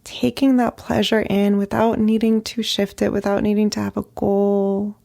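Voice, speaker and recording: A woman leading a guided meditation as a therapist, speaking gently in short phrases with long pauses. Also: mid-range voice, flat delivery, vocal fry. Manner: slow, gentle, pausing between short phrases.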